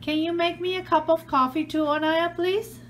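A woman behind the camera in a home living room, gently and patiently playing pretend shop with a toddler. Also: very high voice, fairly even intonation, normal speed, a little hiss or hum. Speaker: parent hosting a kids' channel. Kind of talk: playful pretend-play with a toddler.

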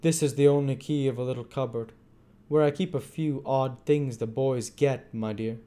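Man acting old male crook, storybook villain, raised pitch, wheedling, falsely affectionate, repetitive. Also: fairly even intonation, normal speed, warm tone, lightly acted. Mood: wheedling, secretive. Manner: coaxing, falsely affectionate, repeats himself. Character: wheedling, sly old male crime boss of pickpockets. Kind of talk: acted dialogue in a classic novel reading.

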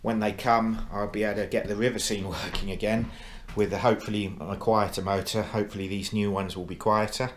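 A middle-aged man at home, explaining his model railway build in a halting way with many fillers, recorded on an action camera. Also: on the low side, steady tone. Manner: hesitant, lots of uh fillers and restarts, plain explanatory. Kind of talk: casual show-and-tell progress update. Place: living room at home, indoors.

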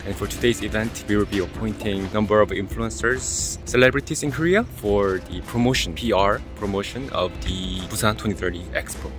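Young man, vlog host, selfie-phone delivery, casual and upbeat, slightly low voice. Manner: chatty, enthusiastic, fillers like "right?". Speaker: vlogger and event host. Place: government building, indoors and out. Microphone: phone at arm's length.

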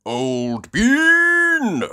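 A boisterous old grandfather rabbit, hearty and booming. The voice is pitched well up and swoops widely, stretching words out slowly like a jolly sailor in a children's cartoon storybook. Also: huge pitch swings, sharp tone, smooth, full character voice. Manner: hearty, booming, drawn-out exclamations, breaks into song.

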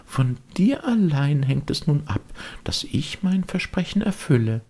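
Guy doing a motherly fairy lady for an audiobook, very high, slow, kind but lecturing. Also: big pitch swings, mellow, heavily acted. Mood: admonishing. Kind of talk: audiobook character voice.

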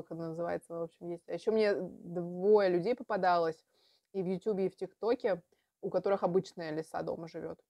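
A woman's voice: mid-range voice, mellow, no room sound. A woman in her twenties chatting casually and bluntly as she reacts to a video at home. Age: 20s. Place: small room at home with bookshelves.

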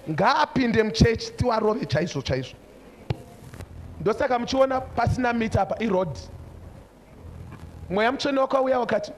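A man's voice: extremely high pitch, a bit bright. A man in his forties with a Zimbabwean accent preaching a sermon, emphatic and repeating short phrases. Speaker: preacher, church overseer. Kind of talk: sermon switching between English and another language. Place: large church hall before a congregation. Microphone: handheld microphone.

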